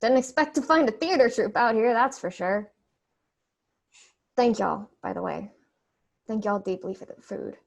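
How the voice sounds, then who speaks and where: A woman's voice: on the high side, normal speed, gravelly, long pauses. A woman playing a wary, earnest young refugee in a staged play reading, hesitant and polite. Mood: hesitant, grateful. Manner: hesitant, polite Southern phrasing.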